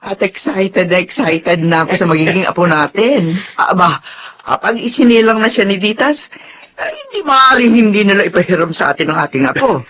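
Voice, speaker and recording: An actress playing a chatty, doting older mother-in-law excited to be a grandmother, in a Tagalog radio drama. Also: medium pitch, normal speed, a little hiss or hum.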